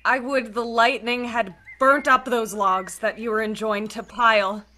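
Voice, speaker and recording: Radio play: female actor as sheltered, tender young maiden, slow, bright, pleading. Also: on the high side, fairly even intonation. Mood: tender concern. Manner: earnest, pleading. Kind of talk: radio play acting of Shakespeare.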